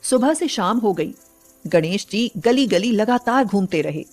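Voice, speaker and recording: A woman's voice: medium pitch, lively intonation, unhurried, a little mellow, soft background music. A woman narrating a scripted bedtime story as a storyteller.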